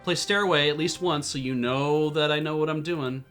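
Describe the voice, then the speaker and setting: Bright-voiced man in his forties rambling. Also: a little high, normal speed, clear, resonant tone, faint music behind. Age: 40s. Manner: rambling, self-deprecating, laughing. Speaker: streamer. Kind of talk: casual chat while streaming a game.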